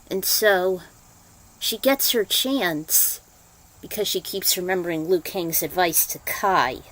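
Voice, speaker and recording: A woman's voice: lowish voice, low-quality recording. Woman introducing and reading her own fan fiction. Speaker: podfic writer and reader. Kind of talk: casual introduction, then story narration.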